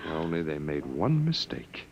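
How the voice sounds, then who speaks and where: An actor playing a wry, resigned captive in a radio drama, with a sardonic, hesitant way of talking. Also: male voice, extremely low pitch, sing-song, a little slow, heavily acted. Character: wry, resigned captive man, last on Earth. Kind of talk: 1950s radio drama acting. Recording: dull vintage radio recording.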